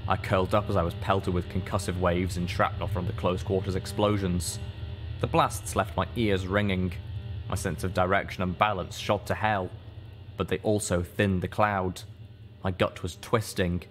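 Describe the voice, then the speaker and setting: Casting: male audiobook narrator, deep-pitched, measured and dramatic, reading first-person fiction over faint music. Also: flat delivery.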